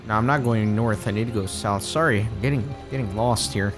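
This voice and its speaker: A man's voice: fairly low pitch, fairly quick, music in the background. Chatty man getting over a cold. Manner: chatty, rambling, self-correcting. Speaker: let's play gamer. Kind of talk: casual gameplay commentary.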